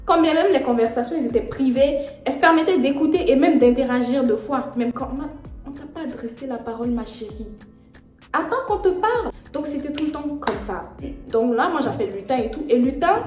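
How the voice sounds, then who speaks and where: Brief: slangy, animated young woman storyteller, slightly high voice, echoey room, faint music. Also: fairly quick. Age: young adult. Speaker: vlogger. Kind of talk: storytime vlog.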